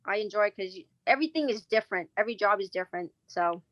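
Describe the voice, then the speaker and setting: A chatty woman in her forties, originally from Vietnam, talks through her answers with lots of fillers and restarts. Her voice sits at a middle pitch, and the recording is close and dry. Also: fast, no room sound, lo-fi sound. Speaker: business owner guest. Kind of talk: casual interview answers.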